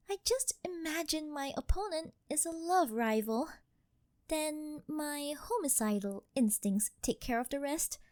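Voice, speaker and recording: A woman's voice: high voice, a little mellow, dry recording, clean studio sound, exaggerated voice. A woman voicing a rich, doting and possessive older girlfriend in an ASMR roleplay, coaxing and sweet-talking. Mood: protective, possessive.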